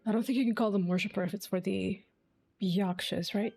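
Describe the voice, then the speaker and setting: A woman's voice: mid-range voice, steady tone, brisk, gravelly, a little mellow, husky. A woman streaming a game with casual, reactive commentary, often musing aloud in questions. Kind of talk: casual live gameplay commentary.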